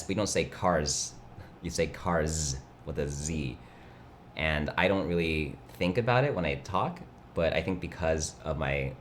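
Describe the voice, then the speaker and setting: A young man whose first language is Mandarin, chatting casually on a livestream with a nasal, vocal-fry voice and a laid-back, rambling manner. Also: rumbling low, slight background noise. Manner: laid-back, rambling, reads chat aloud. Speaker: livestream host. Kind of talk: casual chat.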